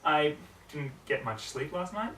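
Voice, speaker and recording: A man's voice: fairly high pitch, expressive, measured pace, pushed and bright, pitched above the performer's own voice. A man voicing a tired, earnest young female unicorn pony scholar in a storybook reading, speaking politely. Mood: sheepish. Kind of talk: fan fiction character reading. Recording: roomy, distant mic, faint noise.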